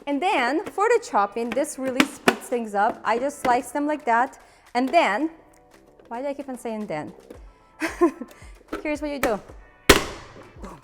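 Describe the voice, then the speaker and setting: A woman's voice: high voice, expressive, unhurried, long pauses, over loud music. A woman in her thirties from Ukraine with a Ukrainian accent, chattily hosting a cooking demonstration and laughing at her own slips. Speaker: cooking show host.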